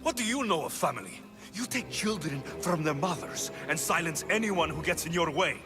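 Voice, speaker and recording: An actor playing an indignant young heroic mercenary in a video game, intense and accusing. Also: male voice, a little high, lively intonation, normal speed, over music, natural voice. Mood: accusing anger. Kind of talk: dramatic video game acting.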